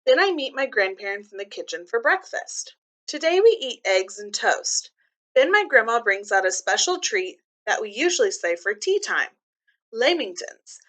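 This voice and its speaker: Bright-voiced woman reading aloud to children. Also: on the high side, big pitch swings, normal speed. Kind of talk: read-aloud with simple explanations for children.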